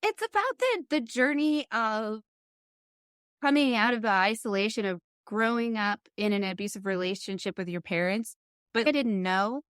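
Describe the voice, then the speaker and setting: A middle-aged woman hosting a podcast, speaking earnestly and thoughtfully in candid conversation, often pausing mid-thought. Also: fairly high pitch, a bit bright, clean tone, dry recording, studio quality. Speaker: podcast host.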